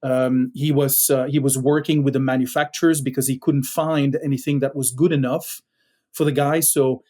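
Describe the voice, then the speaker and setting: A middle-aged Belgian man speaks as a podcast guest in a mellow, dark tone. He talks in a halting way, full of fillers and restarts, as he tells a story. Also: medium pitch, level tone. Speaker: podcast guest, company founder.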